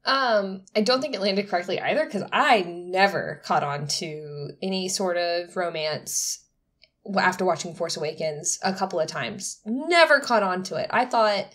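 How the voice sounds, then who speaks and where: A woman's voice: on the low side, animated, normal speed, fairly bright tone. Informal, emphatic woman co-hosting. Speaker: podcast co-host. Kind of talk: casual opinionated discussion.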